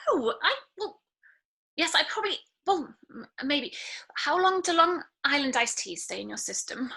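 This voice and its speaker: Female actor, hapless divorcing woman, late twenties, lively swooping pitch, fairly high, flustered apologies, video-call table read. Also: normal speed, creaky, exaggerated voice. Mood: flustered, apologetic. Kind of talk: acted script table read.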